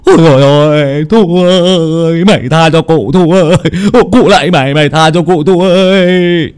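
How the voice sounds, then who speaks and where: A man's voice: medium pitch, animated, fast talker, darkish tone, natural voice. A man voicing a shaken middle-aged villager who stammers and wails. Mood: wailing plea. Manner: stammering, wailing.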